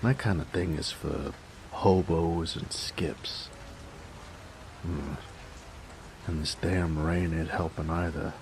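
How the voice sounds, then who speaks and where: An actor playing a jovial, affectionate big 1920s mobster with an American accent, in a folksy, teasing noir audio drama. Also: male voice, extremely low pitch, creaky, noisy background, full character voice. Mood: muttering, grumbling. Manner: folksy, teasing, chatty, chuckling. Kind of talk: noir romance audio roleplay, 1920s gangster slang. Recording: faint rain ambience behind voice. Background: rain.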